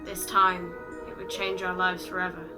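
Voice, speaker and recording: A woman in her late teens performing spoken-word poetry, with dramatic, deliberate phrasing and repetition. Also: lowish voice, monotone. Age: late teens, about eighteen. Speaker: slam poet. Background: music.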